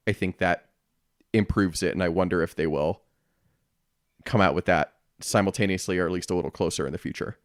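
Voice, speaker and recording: Man, low-pitched voice, podcast co-host, casual discussion, earnest, upbeat. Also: no room sound, clean studio sound.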